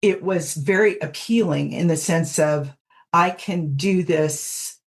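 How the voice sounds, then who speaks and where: Low-voiced woman in casual interview. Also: slow pace. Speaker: guest podfic narrator.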